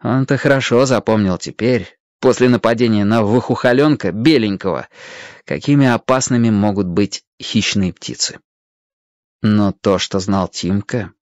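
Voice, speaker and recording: An expressive narrator reading a children's animal story in a dramatic, scripted storytelling manner. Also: mid-range voice, no echo, lo-fi sound. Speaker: audiobook narrator.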